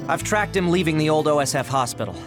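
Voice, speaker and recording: An actor playing a practical young male ally in an anime game, matter-of-fact and brisk. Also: on the high side, expressive, over music, lightly acted. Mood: concerned. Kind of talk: anime game cutscene dialogue.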